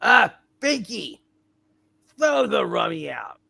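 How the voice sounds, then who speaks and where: A woman voicing a surly, bullying old-time barkeep with a gravelly snarl in an amateur comic play reading. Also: medium pitch, sing-song, takes it slowly, sharp tone, heavily acted. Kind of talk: hammed-up amateur puppet-show play reading.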